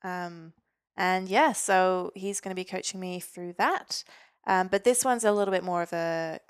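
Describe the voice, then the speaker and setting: A woman from Norway talks as a guest on a casual podcast. Her voice sits on the low side, and she speaks in an informal, chatty way. The sound is dry, with very little room. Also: fairly even intonation, a little slow, smooth, no room sound. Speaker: podcast guest, physiotherapist and weightlifter. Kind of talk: casual interview chat.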